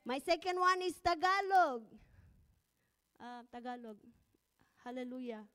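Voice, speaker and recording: A woman's voice: sits high, expressive, measured pace, fairly bright tone, smooth. A middle-aged woman pastor with a Filipino accent, whose first language is Ilocano, preaching a church sermon with nervous laughter and fervent prayer.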